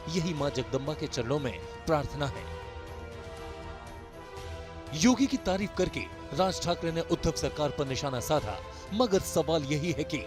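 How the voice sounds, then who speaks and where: A man narrating a scripted, dramatic news report as a voice-over. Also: fairly high pitch. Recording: voice-over laid over loud music. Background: music.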